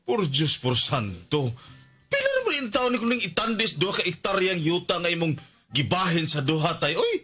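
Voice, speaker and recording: An aggrieved, indignant son from a radio drama, with a Cebuano accent. His voice is fairly high and leaps around dramatically. He stammers and protests over faint background music. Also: wildly swooping pitch, moderate pace, sharp tone, slightly rough, heavily acted. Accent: Cebuano Filipino. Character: aggrieved, indignant grown son of an elderly farmer. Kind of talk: melodramatic radio drama acting.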